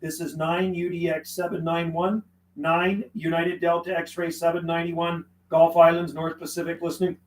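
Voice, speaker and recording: A man's voice: fairly high pitch, level tone, normal speed, slight background noise, low-quality recording. A man from western Canada chatting live on CB radio, laughing often and rattling off call signs and radio jargon. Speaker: CB radio operator streaming live. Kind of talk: casual on-air radio chat.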